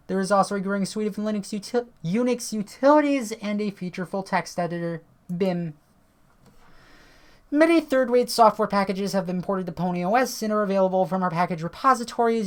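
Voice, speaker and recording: Tired-voiced woman narrating a screen recording. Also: mid-range voice. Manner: chatty, swears casually, reads on-screen text aloud. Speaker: tech video creator. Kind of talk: casual screen-recorded software walkthrough.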